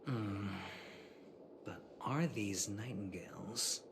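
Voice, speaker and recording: An actor playing a playful, nonchalant young gambler who loves risk, in a video game. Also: male voice, fairly low pitch, slow pace, noisy background. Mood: teasing. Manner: teasing, nonchalant. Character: smooth, flippant young male gambler, playful and risk-loving.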